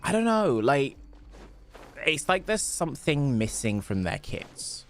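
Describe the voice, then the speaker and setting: A man's voice: fairly high pitch, sing-song, unhurried. Guy streaming a game, rambly and chatty, voice jumps around a lot, faint music behind. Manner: chatty, rambling, answers chat questions. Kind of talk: casual gameplay commentary.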